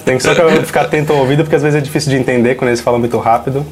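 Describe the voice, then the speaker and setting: A man's voice: mid-range voice, fairly even intonation, rapid-fire, over a noisy crowd. A man from São Paulo, a podcast guest, talking in a polite, friendly way. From São Paulo, Brazil. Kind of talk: casual podcast chat.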